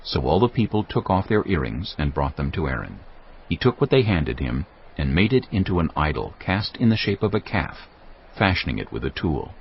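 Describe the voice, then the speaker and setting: A man's voice: sits low, big pitch swings, normal speed, slight background noise. A man narrating scripture from a script as the narrator of a dramatized reading. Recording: dull band-limited sound, faint music.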